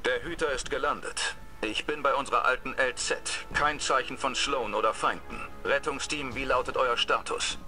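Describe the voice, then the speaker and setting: A man playing a grave, resolute military commander in a video game, speaking with solemn, measured authority. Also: mid-range voice, pushed and bright, faint music behind. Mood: commanding. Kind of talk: scripted game narration and mission briefing.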